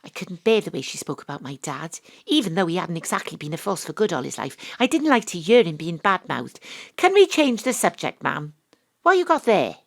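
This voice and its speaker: An actress playing a wry, world-weary Welsh private investigator, narrating and talking in an audio drama. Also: female voice, fairly low pitch, sing-song, brisk, vocal fry, full character voice. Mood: wry narration, then exasperated. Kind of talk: first-person audio drama narration and dialogue.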